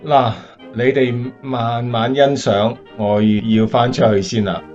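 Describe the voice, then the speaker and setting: Male actor as steady young flight captain, average pitch, radio drama, soft music behind. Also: slow pace, lo-fi sound, lightly acted. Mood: tipsy, polite.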